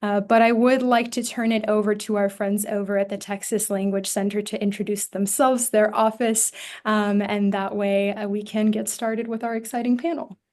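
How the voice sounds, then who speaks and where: Woman career coach for a welcome, steady upbeat delivery, flat pitch, video-call audio. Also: moderate pace. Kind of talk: event welcome and announcements. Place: home or office on a video call.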